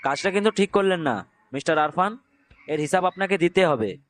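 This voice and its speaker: Casting: actor as crude, threatening young guy, high male voice, faintly noisy track, romance audio drama. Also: expressive, a little fast. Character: crude, menacing young man at a party, male villain. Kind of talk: acted dialogue in an audio love story.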